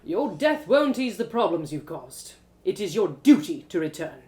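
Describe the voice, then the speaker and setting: A woman voicing a stern, scolding male elf warrior with a pompous, drawn-out delivery in a fantasy story. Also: on the low side, big pitch swings, a little mellow.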